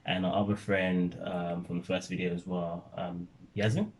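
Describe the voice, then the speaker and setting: A young man from Nigeria telling stories in relaxed casual chat, laughing often as a vlogger. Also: sits low, normal speed, poor audio.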